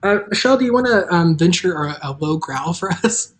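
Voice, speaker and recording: Female host-narrator, a touch low, informal, self-deprecating, for casual chat and script narration. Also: lively intonation, a little fast. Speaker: writer and host, narrator of a table read.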